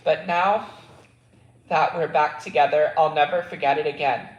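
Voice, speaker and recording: A man's voice: a little high, steady tone, normal speed, roomy sound, muffled recording, natural voice. A man reading the lines of an earnest, caring middle-aged mother in his own voice, with small slips. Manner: plainly read dialogue with small slips.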